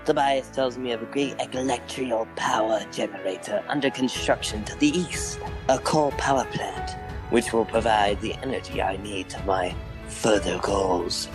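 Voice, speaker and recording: A scheming robot overlord played by a man speaks in a fairly low voice. He declaims formally and imperiously, as a commander in a dramatic comic dub, over faint music. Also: fairly even intonation, moderate pace, lightly acted. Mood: commanding, scheming. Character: scheming, commanding male alien robot villain.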